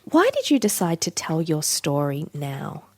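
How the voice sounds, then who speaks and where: A woman hosting a public radio interview from a studio, calm and measured, with clearly read introductions and gentle questions. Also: low voice, no room sound.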